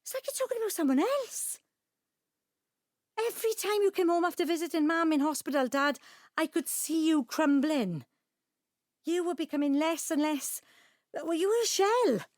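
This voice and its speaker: An actress playing a loyal, protective grown daughter in an audio drama, earnest and emotive. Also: female voice, extremely high pitch, expressive, normal speed, pauses often, darkish tone, dry recording, studio quality. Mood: pleading. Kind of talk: naturalistic audio drama acting.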